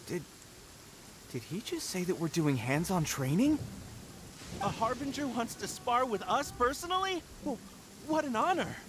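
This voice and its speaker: A man playing an eager, deferential young military recruit in a video game, excitable and full of awe. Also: very high voice, moderate pace, long pauses, noisy background. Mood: awed, eager. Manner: excitable, awed.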